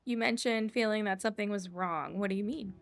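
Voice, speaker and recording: A woman's voice: mid-range voice, a little fast. A woman game streamer giving casual, musing commentary and reading dialogue choices aloud.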